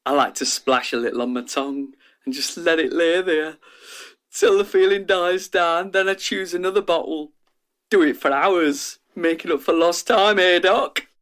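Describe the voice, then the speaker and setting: A man's voice: a little high, fairly even intonation, moderate pace, fairly bright tone, natural voice. Man playing down-to-earth man, eager, chatty, savouring words, radio drama. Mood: chummy. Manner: chatty, relishing. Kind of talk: audio drama acting.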